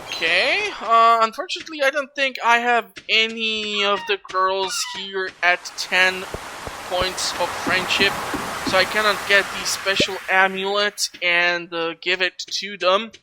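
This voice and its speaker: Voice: mid-range voice, steady tone, a little slow, noisy background. A person giving an informal video game walkthrough, thinking aloud while playing. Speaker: gaming YouTuber, walkthrough host. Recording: loud game music behind. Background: video game music.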